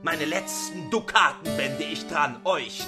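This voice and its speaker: A man's voice: a little high, slow, music in the background, voice pushed up. A man voicing a furious, jealous old miser in a radio story, ranting grimly and theatrically.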